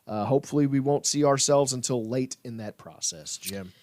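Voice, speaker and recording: A man's voice: medium pitch, normal speed, a little mellow, dry recording, clean studio sound. A man hosting a sports podcast, joking around playfully with lots of "uh" fillers. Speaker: podcast host. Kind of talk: casual sports podcast chat.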